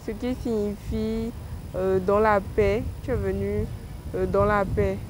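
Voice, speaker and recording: Woman, gentle and earnest, vox pop over street noise. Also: mid-range voice, flat delivery, measured pace, low-quality recording. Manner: gentle, earnest, with small hesitations. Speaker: street interviewee. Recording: street interview with background noise.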